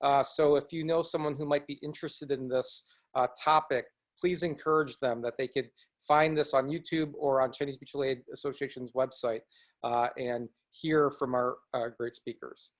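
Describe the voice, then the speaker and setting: A man's voice: mid-range voice, steady tone. Earnest middle-aged man hosting a webinar. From Chicago area, United States. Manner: earnest, halting, with frequent restarts. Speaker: nonprofit executive director, webinar host. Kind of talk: presenting in a webinar. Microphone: video call.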